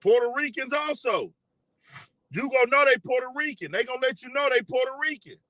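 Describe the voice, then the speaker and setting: A young man hosting a casual commentary stream, animated and repetitive, often asking the audience questions. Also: very high voice, fairly quick, dry recording. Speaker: commentary show host. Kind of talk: casual live commentary to viewers.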